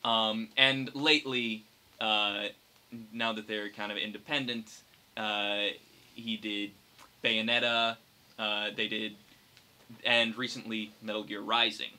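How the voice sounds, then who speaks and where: A young man chatting casually about games as a podcast co-host, explaining things in a halting, hesitant way. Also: lowish voice, little pitch movement, bright, forceful tone. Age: young adult. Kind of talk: casual gaming chat among friends. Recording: noisy hobby podcast recording.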